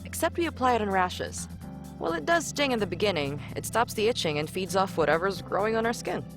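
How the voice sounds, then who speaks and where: A woman's voice: medium pitch, sing-song, fast talker. An actress voicing a confident, friendly female spaceship engineer in a visual novel game, breezy and self-assured. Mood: helpful, chatty. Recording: music clearly playing behind.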